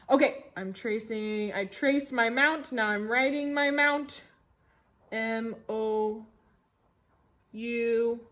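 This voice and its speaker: An American woman leads a lesson for young children in a bright, very animated voice. She takes her time, repeats key words simply and plays up questions with exaggerated wonder. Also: a little high. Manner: simple explanations with repetition. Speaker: elementary school teacher. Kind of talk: read-along tracing lesson for young children.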